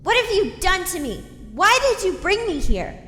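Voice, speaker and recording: A woman's voice: extremely high pitch, big pitch swings, normal speed, sharp tone, heavily acted. A woman voicing an earnest young female cartoon pony in an audio drama. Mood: defiant. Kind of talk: animated fan fiction audio drama acting. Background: music.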